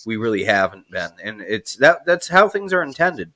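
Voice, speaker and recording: A man's voice: lowish voice, sing-song, normal speed, pushed and bright, no room sound. A man from New England hosting a casual football discussion, rambling and rephrasing as he goes. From New England, United States. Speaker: sports show host.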